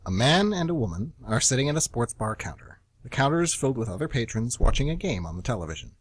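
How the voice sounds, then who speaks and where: A man's voice: medium pitch, very animated, quick pace, husky, no echo. A man narrating a radio play, reading scripted stage directions.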